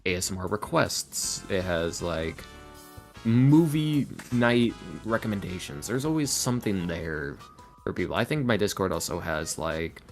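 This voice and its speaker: A man's voice: deep voice, big pitch swings, a little slow. A man talking casually as a podcast guest, earnest and self-deprecating in a rambling way. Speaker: podcast guest, ASMR roleplay creator and voice actor. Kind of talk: casual podcast interview talk. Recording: music playing under the talk.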